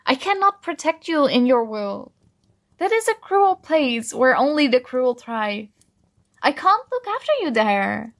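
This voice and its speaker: Slow, high-voiced possessive princess played by a woman. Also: very animated, dry recording, studio quality, full character voice. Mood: possessive, firm. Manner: softly coaxing, doting, gently commanding. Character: possessive, tender yandere dream princess with magic powers. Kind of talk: ASMR roleplay, soothing intimate monologue.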